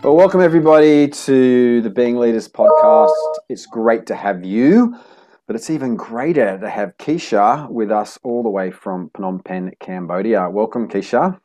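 A man's voice: mid-range voice, moderate pace, dark tone, faint music behind. Male podcast host, mid-range voice, effusive and upbeat interviewer with a piled-on adjective habit.

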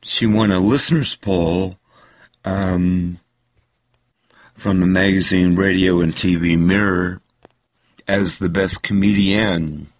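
A man hosting a podcast, giving an informal, halting introduction to an old radio show. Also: deep voice, steady tone. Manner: halting, hesitant delivery. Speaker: podcast host.

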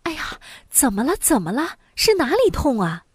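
A woman's voice: sits high, huge pitch swings, moderate pace, dry recording, clean studio sound. A woman voicing a worried, soothing mother in a storybook. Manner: anxious, soothing. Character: fussing, caring mother.

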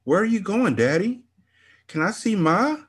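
A man's voice: big pitch swings, unhurried, husky, exaggerated voice. A man voicing a pleading teenage girl. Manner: pleading, high and strained. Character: frightened teenage daughter pleading.

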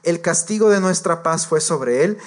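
A man's voice: fairly high pitch, animated, normal speed. A man preaching a sermon to a congregation, earnest and direct, repeating his points and addressing the listeners as believers.

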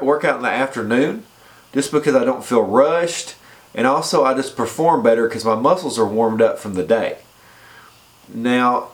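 A man with a head cold, sounding congested, giving casual fitness advice in a chatty way and often checking in with the listener. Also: mid-range voice. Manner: chatty, repeats points and checks in with "okay?". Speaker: fitness vlogger. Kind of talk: casual advice talk to camera.

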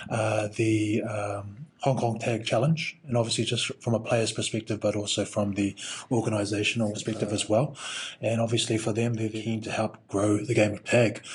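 A man's voice: on the low side, a little mellow, breathy edge. A man co-hosting the show, asking questions and commenting in an upbeat way. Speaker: co-host interviewer. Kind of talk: interview questions.

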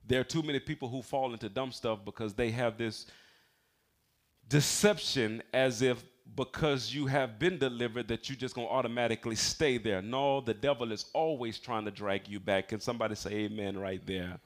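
Male preacher, forties, American accent, mid-pitched voice, emphatic yet conversational sermon delivery with personal testimony. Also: normal speed. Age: 40s. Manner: emphatic, conversational, calls for amens. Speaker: pastor. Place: speaking to a congregation in a church building.

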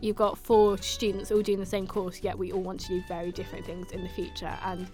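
A woman's voice: medium pitch, level tone, fairly quick, over music. A young woman from the East Midlands, a student co-hosting a podcast in casual chat, sounding nasal from a cold and laughing easily. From East Midlands, England. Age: young adult. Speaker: podcast co-host.

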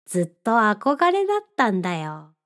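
A woman voicing a friendly, contented talking cat who runs a drink stall in an audio drama, speaking gently and politely with a playful touch. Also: a little high, wildly swooping pitch, normal speed, full character voice. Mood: earnest, happy. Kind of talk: Japanese audio drama acting.

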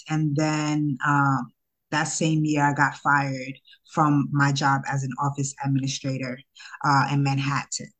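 A woman from Ghana who was raised in Harlem, telling her own story as an interview guest in a casual, halting way. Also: deep voice, fairly bright tone. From born in Ghana, raised in Harlem, New York. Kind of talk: casual conversational interview answers, personal storytelling.

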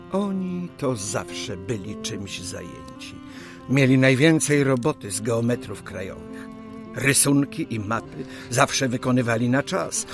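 An actor playing a 19th-century Polish official, speaking in formal, old-fashioned phrases with deliberate pauses, earnest and dignified in an audio drama. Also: male voice, mid-range voice, vocal fry. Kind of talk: audio drama acting and diary narration.

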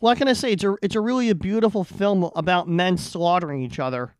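A man with a fairly high, smooth voice hosts a casual solo podcast. He rambles and stumbles as he tells stories like a stand-up off stage. The sound is dry and close. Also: fast talker, no echo. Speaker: podcast host and stand-up comedian.

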